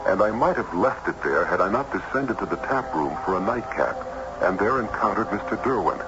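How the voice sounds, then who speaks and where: An actor playing a curious, incredulous period judge who speaks formally and dramatically in an old radio drama. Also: male voice, on the low side, expressive, a little fast, music in the background, natural voice. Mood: reflective. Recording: noisy, muffled old radio recording.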